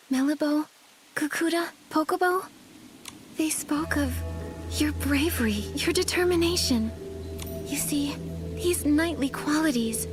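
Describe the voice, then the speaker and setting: Young game heroine, high clear-hearted voice, kind, determined, music behind. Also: female voice. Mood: encouraging. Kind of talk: video game cutscene acting.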